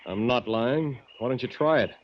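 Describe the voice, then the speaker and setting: An American actor playing a steady, compassionate small-town filling station attendant in a 1940s radio drama, plain and earnest as he reasons. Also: medium pitch, normal speed, lightly acted. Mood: wary. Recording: dull, dry vintage radio recording.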